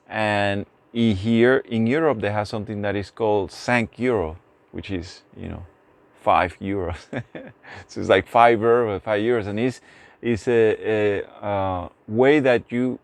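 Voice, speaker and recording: A man's voice: lowish voice, a little mellow, dry recording. A man from Puerto Rico speaking English with a Puerto Rican Spanish accent, talking casually to camera with many restarts. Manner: rambling, many restarts and fillers. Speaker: vlogger.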